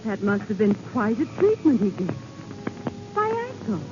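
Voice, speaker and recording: An actress playing a grateful, excitable woman rescued from danger in a 1940s radio drama, with dramatic delivery. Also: a little high, measured pace. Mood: amazed. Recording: dull 1940s radio recording.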